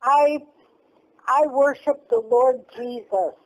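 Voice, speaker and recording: A woman's voice: high voice, takes it slowly, darkish tone, rough recording. A woman in her seventies chatting as a guest, answering plainly and unhurriedly. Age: 70s. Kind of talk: casual chat.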